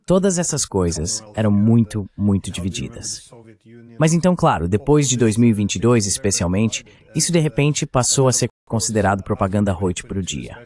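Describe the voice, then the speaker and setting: Male voice-over for an interview host, somewhat low pitch, conversational analysis. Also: very animated, normal speed, a little mellow. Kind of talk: dubbed interview translation, conversational commentary.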